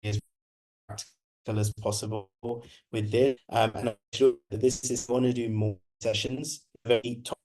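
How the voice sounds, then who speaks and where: A man in his thirties with a husky voice, slightly hoarse from being unwell, giving a conversational online presentation with frequent restarts. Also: fairly low pitch, flat delivery, normal speed, darkish tone, rough recording. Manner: conversational, many restarts and repetitions. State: recovering from illness, slightly hoarse. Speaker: guest speaker presenting a webinar.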